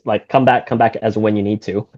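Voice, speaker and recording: A man's voice: fairly low pitch, a little fast, dry recording, lo-fi sound. A man in his thirties from Singapore with an American accent, chatting casually and rambling a little as an interview guest. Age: 30s. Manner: relaxed, rambling, frequent fillers and restarts. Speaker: guest, golf shop owner.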